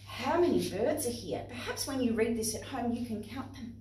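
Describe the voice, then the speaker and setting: A woman with an Australian accent presenting to young children and parents in an expressive, instructive way. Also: on the high side, moderate pace. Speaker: playgroup facilitator and presenter. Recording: echoey room, distant microphone.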